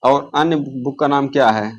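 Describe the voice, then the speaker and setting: A man's voice: mid-range voice, moderate pace. A man teaching an exam-prep lesson, asking quiz questions and answering them himself, repeating the key answers. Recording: dull lo-fi audio.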